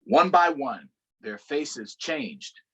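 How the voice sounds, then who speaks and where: A man reading a picture book aloud to children in an unhurried way, pausing for short explanatory asides. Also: fairly high pitch, expressive, pushed and bright, slight background noise. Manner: unhurried, adds explanatory asides to young listeners. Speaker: storytime reader.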